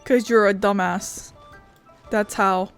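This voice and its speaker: A woman's voice: medium pitch, steady tone, slow pace, clear, resonant tone, soft background music. A woman giving casual gameplay commentary, sarcastic and sweary. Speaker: gaming video host.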